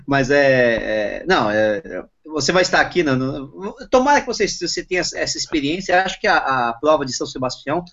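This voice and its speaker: A man in relaxed casual chat who often ends his phrases with a tag question. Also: a little high, brisk, hardly any pauses. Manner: relaxed, tags lines with "né?". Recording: lo-fi with faint noise.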